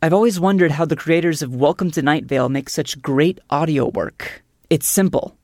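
A woman, a podcast producer, telling a scripted comic story in a halting, stammering, insistent way. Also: deep voice, darkish tone, no room sound, clean studio sound.

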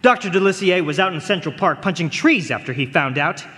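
A man's voice: sits high, animated, brisk, sharp tone. An actor playing a smug, sly newspaperman who needles his rival with wordy, mock-polite threats in a live radio drama. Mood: smug, menacing. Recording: reverberant concert hall stage.

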